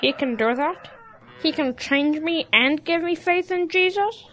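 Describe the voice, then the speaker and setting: A woman's voice: high voice, unhurried, a bit bright, slight background noise. A woman playing a stubborn, childlike young calf who insists on being a duck, in a children's audio drama. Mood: softening, curious.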